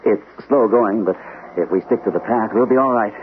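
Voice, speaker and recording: Actor as haunted army captain, tinny radio drama. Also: male voice, lowish voice, normal speed, a little hiss or hum, lightly acted. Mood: earnest, gentle. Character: shell-shocked army captain, haunted, gallant and insistent. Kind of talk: old-time radio drama acting with first-person narration.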